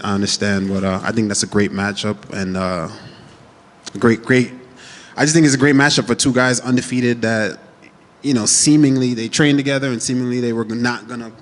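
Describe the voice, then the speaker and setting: A man's voice: on the low side, big-room sound. A man in his thirties who grew up in Jamaica, a fighter answering media questions in a casual, confident, trash-talking way. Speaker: MMA fighter. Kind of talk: media day interview answers.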